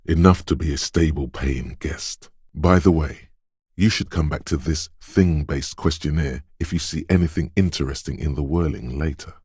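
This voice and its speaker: Detective's inner instinct, video game narration, rumbling low male voice, measured, knowing, quick-witted, dramatic, dry studio sound. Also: a little mellow, husky, dry recording, full character voice. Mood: observant, knowing. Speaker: voice actor. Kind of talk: second-person game narration.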